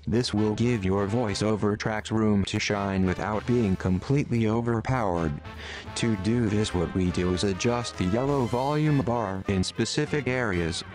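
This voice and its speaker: A man reading a scripted tutorial voice-over in a measured, instructional manner. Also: on the low side, music in the background. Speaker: tutorial narrator.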